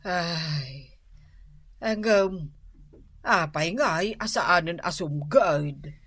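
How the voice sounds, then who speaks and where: A woman's voice: lowish voice, animated, very slow, a bit bright, breathy edge, no room sound, heavily acted. A woman playing a nagging, exasperated older wife and mother in an Ilocano radio comedy drama, with an Ilocano accent. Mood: weary complaining.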